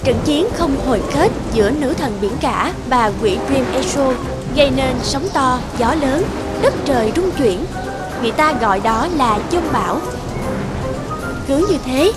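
A woman's voice: high voice, expressive, a little slow. A woman narrator reading a scripted fairy tale in a storytelling style. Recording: loud music behind the voice.

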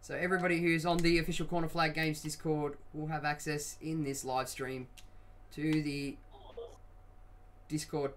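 A young man with a headset, streaming a football video game and chatting loosely with friends, swearing and shouting at the play. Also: a little high, moderate pace. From Australia. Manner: excitable, swears, loud outbursts at the game. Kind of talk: live stream commentary and chat.

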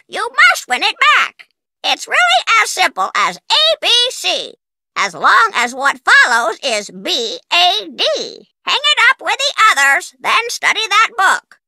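A tiny, chirpy riddling creature from a video game, extremely high and piercingly bright. It recites rhymed hints in a sing-song with exaggerated emphasis, swooping wildly up and down. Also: female voice, no room sound, clean studio sound, heavily acted.

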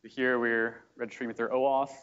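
A man's voice: medium pitch, level tone, brisk, fairly bright tone. Man giving a lo-fi live tech demo. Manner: explanatory, frequent fillers. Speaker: software engineer giving a product demo. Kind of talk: live technical demo talk. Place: meetup room at a company office.

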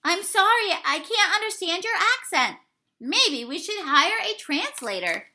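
A woman's voice: very high voice, big pitch swings, a little slow, pushed and bright, slight background noise, pitched above the performer's own voice, heavily acted. A woman voicing a small, officious hotel mouse in a children's storybook, eager and chirpy.

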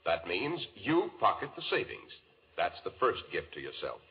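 A man's voice: deep voice, huge pitch swings, moderate pace. A man with an American accent announcing and hosting in scripted 1940s radio style. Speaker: announcer and host. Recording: muffled, hissy 1940s radio recording.

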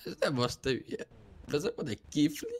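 A man's voice: fairly high pitch, expressive, husky. A man bantering casually in a roleplay game, crude and slangy in his talk. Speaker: roleplay gamer. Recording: noisy gaming voice chat.

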